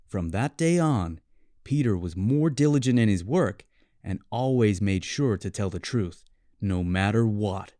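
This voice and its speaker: A man with an American accent reading a scripted storybook tale for English learners in clearly phrased, measured storytelling. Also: fairly low pitch, lively intonation, dark tone, no echo, clean studio sound. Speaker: story narrator.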